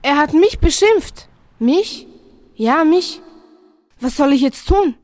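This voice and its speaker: A young woman from Serbia, Serbian native speaker, narrating a poetic radio feature in a calm, measured way. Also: high voice, no room sound. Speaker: student narrator.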